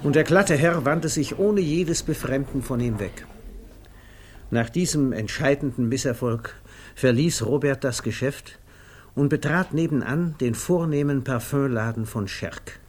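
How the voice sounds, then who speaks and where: Measured male narrator, vintage radio. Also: medium pitch, a little mellow. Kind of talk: literary narration in a 1950s German radio play. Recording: faintly noisy vintage radio recording.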